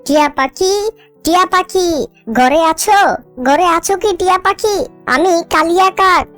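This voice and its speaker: A selfish crow wife from a children's cartoon, acted by a woman. Her voice is high, whiny and insistent. Light music runs underneath. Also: unhurried, fairly bright tone. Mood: pleading, desperate.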